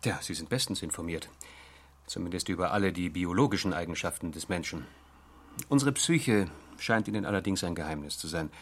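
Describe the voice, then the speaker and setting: A man's voice: deep voice, a little fast. A man playing an earnest research analyst in a science fiction radio drama, explaining matter-of-factly with some hesitation. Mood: measured, uneasy. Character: earnest male research analyst, measured and slightly uneasy.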